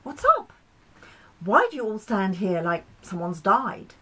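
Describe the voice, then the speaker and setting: A woman's voice: medium pitch, lively intonation, a little slow, a bit bright. A woman voicing Mercury, a blunt, breezy and restless male character in a story, firing off questions. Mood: puzzled, blunt.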